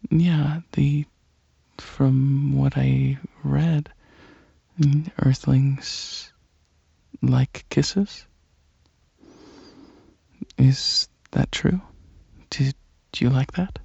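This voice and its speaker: A soft-spoken ASMR performer playing a gentle, shy, caring alien dragon, talking hesitantly in a breathy half-whisper. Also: mid-range voice, fairly even intonation, very slow, long pauses, no echo, dull audio.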